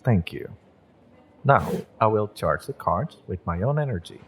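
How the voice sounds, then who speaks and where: A man playing a gentle, encouraging magick shop owner and tarot reader in an intimate audio roleplay, speaking in a measured, mystical, reassuring way. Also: fairly low pitch, expressive, slight background noise. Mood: calm, guiding.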